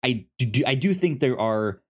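A man co-hosting a casual movie review discussion, speaking in a halting way with many repeated words and restarts. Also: medium pitch, animated. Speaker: podcast co-host reviewing movies.

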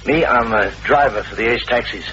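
Actor as worried cabbie, muffled old radio. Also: male voice, medium pitch, a little fast, bright, forceful tone, natural voice. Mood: earnest, uneasy. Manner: hesitant, plain-spoken, colloquial. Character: worried, apologetic working-class taxi driver, young father. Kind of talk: old-time radio drama dialogue. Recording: muffled, noisy vintage radio recording.

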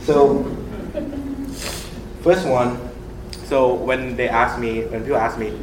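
A young man from Thailand with a Thai accent, whose first language is Thai, sharing personal experiences in a group discussion in an earnest, animated way with lots of fillers. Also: medium pitch, takes it slowly, background noise. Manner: earnest, animated, laughs often, many fillers. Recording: roomy echo with clear background ambience.

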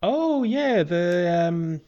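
A man's voice: high voice, expressive, drawn-out words, warm tone. Man chatting on slightly muffled recording. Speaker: podcast co-host. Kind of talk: casual game walkthrough chat. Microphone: podcast voice recording.

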